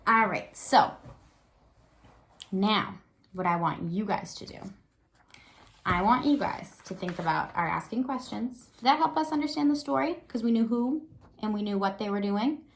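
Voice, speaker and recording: A woman's voice: medium pitch, normal speed, pauses often, a little hiss or hum. Lady teacher doing a kids read-aloud, simple questions, checks in a lot, encouraging. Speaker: elementary school teacher. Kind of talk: children's read-aloud lesson, explaining directly to young pupils.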